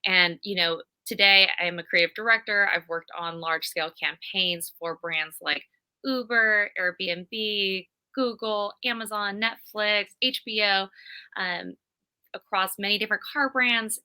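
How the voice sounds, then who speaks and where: A woman's voice: medium pitch, unhurried, bright, forceful tone, clear, resonant tone. A woman from California, upbeat and fluent, telling her story as an interview guest over a video call. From California, USA. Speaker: guest, creative director. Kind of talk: conversational interview.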